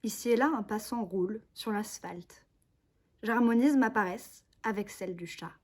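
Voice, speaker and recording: A woman's voice: mid-range voice, moderate pace, a little mellow. A young woman, a conservatory student, giving a recitation of literary poetry. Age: young adult.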